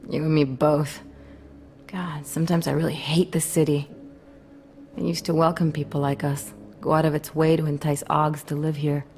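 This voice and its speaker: A woman's voice: sits low, little pitch movement, moderate pace, dark tone, slight background noise. An actress playing a hardened, wary female resistance operative in a video game, wry and world-weary. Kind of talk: video game cutscene acting.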